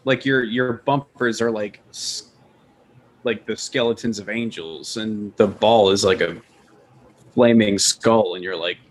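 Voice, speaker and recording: A man's voice: lowish voice, a little slow, slight background noise. A man on a podcast panel, explaining things casually with vivid descriptions.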